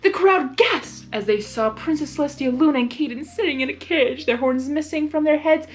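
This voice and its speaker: A woman reading fan fiction aloud as host, excitable and hyper, breaking off for informal reactions. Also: high voice, normal speed. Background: music.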